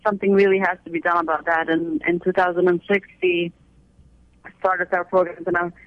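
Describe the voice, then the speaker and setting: A man's voice: high voice, level tone, brisk, dry recording. A man from Afghanistan who was raised in the United States, earnestly explaining his women's aid organization in a radio interview, often restarting his sentences. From born in Afghanistan, raised in the United States. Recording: dull lo-fi audio.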